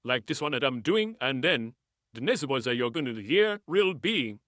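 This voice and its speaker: A man's voice: medium pitch, very animated, moderate pace, fairly bright tone, dry recording, studio quality, pitched above the performer's own voice. A man tutorial host talking through an app voice changer filter, demonstrating the effect in a breezy, explanatory way. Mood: cheerful.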